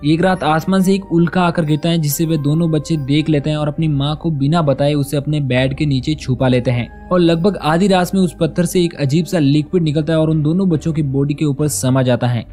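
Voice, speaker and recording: A man tells a film's story as a voice-over recap in a steady, unhurried way. His voice sits a little high but sounds mellow and soft-edged. Faint music plays underneath him. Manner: steady storytelling, even pace. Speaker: film recap narrator. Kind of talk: scripted narration of a film plot.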